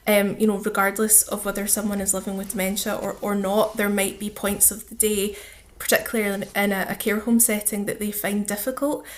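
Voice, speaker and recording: A woman's voice: mid-range voice, flat delivery, a little fast. A woman speaking as a charity officer and interview guest, explaining things in an earnest, conversational way.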